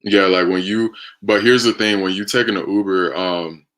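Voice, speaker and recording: A man's voice: sits low, fairly even intonation, normal speed, fairly bright tone. An American man hosting a casual live stream, chatting conversationally as he takes viewer questions. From United States. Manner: conversational, reading and answering viewer comments.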